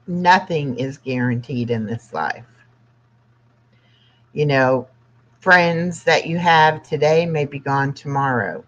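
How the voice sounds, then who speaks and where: Slow-talking sixty-year-old livestream host, dull audio. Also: medium pitch, a bit bright. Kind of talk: casual livestream chat, reading humorous lines aloud.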